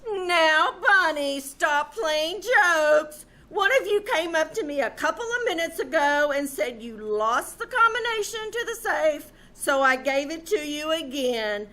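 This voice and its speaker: Very shrill, fussy society hostess. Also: female voice, animated, measured pace, few pauses, sharp tone, heavily acted. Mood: impatient. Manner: shrill, theatrical, fretful. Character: fussy, easily alarmed, imperious rich society hostess. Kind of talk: old-time radio comedy acting. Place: small-town theater stage, live performance.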